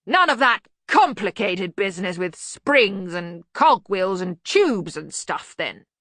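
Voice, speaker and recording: A woman's voice: medium pitch, very animated, slow, a bit bright, no room sound, studio quality, full character voice. A woman voicing a bluff, bellowing older male wizard chief, blunt and obtuse, in an audiobook. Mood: blustering, hearty. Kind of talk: acted audiobook dialogue.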